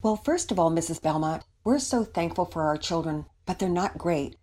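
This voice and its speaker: A woman's voice: fairly low pitch, lively intonation, normal speed, warm tone. A woman narrator plays a devout storybook mother, kindly and patient. She speaks earnestly, as if teaching, with widely varied intonation. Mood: earnest, instructive. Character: kindly, devout, instructive mother hosting guests.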